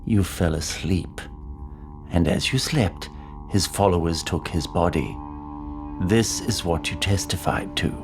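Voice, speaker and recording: An actor playing a scheming temple authority in a biblical audio drama, measured and coldly commanding. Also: male voice, deep voice, creaky. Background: music.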